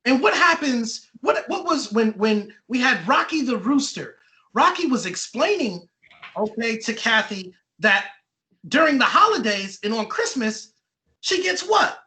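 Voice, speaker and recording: A high-pitched, bright voice of an author leading a children's read-aloud. The pitch swings in a lively way through questions and repeated answers. The delivery is encouraging and interactive, full of little check-ins. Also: measured pace, rough recording. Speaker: author reading own children's book and quizzing children.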